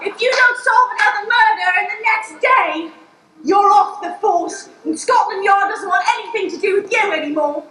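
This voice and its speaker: A woman's voice: very high-pitched, normal speed, pauses often, bright, forceful tone. A woman playing a stern, threatening police headquarters caller in an improvised radio play. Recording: echoey stage.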